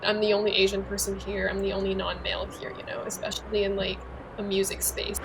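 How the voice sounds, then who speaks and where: A woman's voice: mid-range voice, monotone, fast, vocal fry, noisy background. A young woman from the United States, talking casually in a documentary interview, with lots of "like". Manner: casual, frequent "like" fillers.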